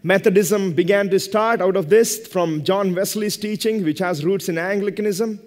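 A man's voice: high voice, moderate pace. A man in his thirties preaching as a pastor from a lectern, emphatic, in short phrases repeated for stress. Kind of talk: sermon, preaching and teaching from a pulpit. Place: church stage at a lectern, indoors. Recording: long hall reverb.

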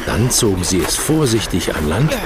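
A man narrating a children's adventure audio drama in a dramatic, expressive way. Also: fairly low pitch, moderate pace. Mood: suspenseful telling. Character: storyteller of a children's adventure tale. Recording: clear ambient noise behind narration.

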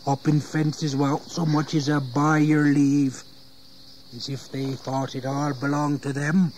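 A man's voice: on the high side, level tone, unhurried, a little mellow, a little hiss or hum, lightly acted, low-quality recording. An actor playing a bitter English working-class servant and father, rustic and deferential yet grumbling, in an audio history drama. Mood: resentful. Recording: 1980s audio drama, insect ambience.

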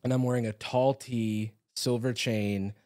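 A man chatting as a podcast guest, playful and quick to laugh. His voice sits in the middle range and is picked up close on a studio boom mic. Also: fairly even intonation, darkish tone. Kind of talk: casual chat. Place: indoor podcast studio with sofas. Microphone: boom microphone close to the mouth.

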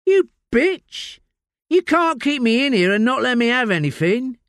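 Man putting on a shrill, swearing young woman suspect. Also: wildly swooping pitch, a little slow, a bit bright, no echo, clean studio sound, full character voice. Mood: agitated.

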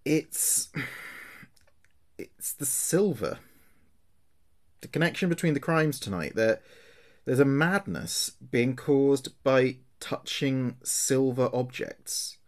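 An actor playing an uneasy, earnest late-night local radio DJ in a horror audio drama, speaking haltingly. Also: male voice, medium pitch, natural voice. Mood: shaken, grave. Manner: halting, hesitant, addressing listeners directly.

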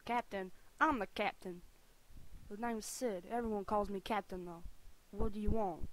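A woman's voice: medium pitch, normal speed, a little hiss or hum, close to an everyday voice, low-quality recording. Woman doing a brash, grumbling male pilot captain. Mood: boastful, gruff. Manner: brash, grumbling, redneck-style talk. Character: gruff, bitter, swearing male pilot captain with lost dreams. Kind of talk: anime-style game fandub audition.

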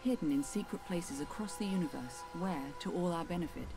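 A woman giving measured, solemn cinematic narration for a sci-fi horror video game. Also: on the low side, natural voice. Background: loud music.

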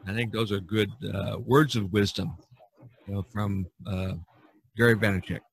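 A man's voice: on the low side, steady tone, moderate pace, creaky, breathy edge, dry recording, rough recording. A man hosting a casual artists' podcast, talking in a rambling, easygoing way and laughing often. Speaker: podcast host. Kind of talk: casual chat among artists.

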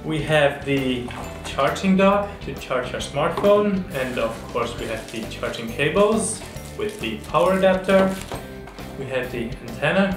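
A young adult man presents a product unboxing to camera in a medium-pitched voice. The room adds noticeable echo, and music plays behind him. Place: small indoor studio, seated at table. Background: background music.

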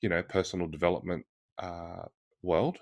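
Man, middle-aged, host, deep voice, hesitant with fillers, dry close sound. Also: fairly even intonation, moderate pace, gravelly, a little mellow, dry recording. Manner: hesitant, with many fillers and restarts. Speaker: podcast host. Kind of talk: interview over video call. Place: indoor room. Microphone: video call.

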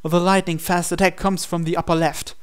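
Higher-voiced man dramatically narrating a story. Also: flat delivery, fairly quick, clear, resonant tone. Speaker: let's-play reader of a visual novel. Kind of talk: dramatic first-person story narration read aloud.

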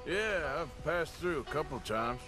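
An actor playing a rugged, patient Wild West outlaw in a video game, with an American accent and a plain, understated, folksy drawl. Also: male voice, on the high side, lively intonation, unhurried. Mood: wry, easygoing. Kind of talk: naturalistic video game acting.

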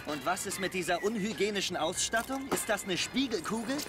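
An actor playing a nervy, sarcastic soldier in a video game who complains and wisecracks. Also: male voice, sits high, lively intonation, brisk. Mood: mocking. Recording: loud music over the voice.

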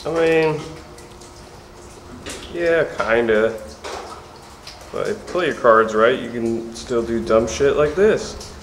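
A man's voice: mid-range voice, slow pace, long pauses, slight background noise. A man filming alone inside an abandoned prison, talking offhandedly to himself and swearing now and then as he explores. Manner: offhand, swears often, thinks aloud. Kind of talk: casual muttered commentary while exploring. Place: large abandoned prison building, indoors. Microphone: camcorder mic, speaker behind the camera. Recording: echoey concrete interior with faint ambience.

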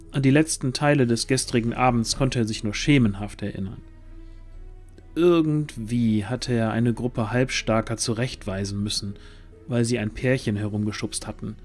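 Male storyteller over soft music. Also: mid-range voice. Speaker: narrator. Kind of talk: scripted storytelling narration.

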